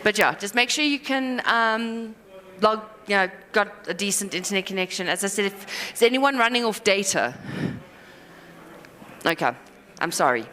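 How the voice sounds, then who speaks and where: A woman giving a live conference talk, rambling and self-deprecating with quick asides and apologies. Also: medium pitch, animated, bright, forceful tone. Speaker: conference speaker. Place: conference hall. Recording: hall reverb.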